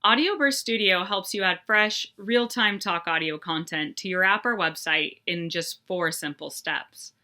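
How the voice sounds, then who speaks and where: Bright-voiced woman narrating a tutorial. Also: lowish voice, measured pace, few pauses. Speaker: tutorial presenter.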